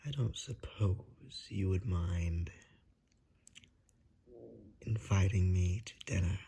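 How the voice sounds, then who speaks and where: Suave ASMR vampire, acted by a man: very breathy and husky, unhurried, politely menacing and seductive. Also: low voice, mellow, full character voice. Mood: silky, coaxing. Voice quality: breathy, husky, half-whispered. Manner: courtly, drawn-out, seductive menace. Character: suave, predatory vampire, coaxing and darkly polite. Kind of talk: ASMR roleplay, slow and whispery.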